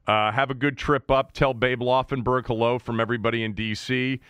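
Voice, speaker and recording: A man's voice: mid-range voice, fairly even intonation, a little fast, a bit bright, clear, resonant tone, no room sound. An American man hosting a sports podcast, talking casually.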